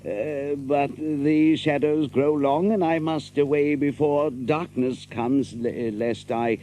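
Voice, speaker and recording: An actor playing a pompous, cowardly sheriff in an audio adventure drama, stammering through flowery archaic English. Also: male voice, a little high, fairly even intonation, slow, dark tone, slight background noise. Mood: nervous politeness. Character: flustered, cowardly, blustering sheriff of Nottingham.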